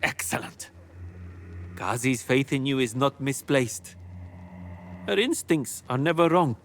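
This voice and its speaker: A man's voice: medium pitch, animated, takes it slowly, a little hiss or hum. A man voicing a formal, old-fashioned male keeper of ancient secrets in a video game cutscene. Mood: grave, earnest. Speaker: game character.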